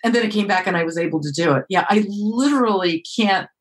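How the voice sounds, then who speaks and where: An animated, emphatic woman author talking as a guest on an interview podcast. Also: medium pitch, breathy edge. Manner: animated, emphatic, quick bursts of speech.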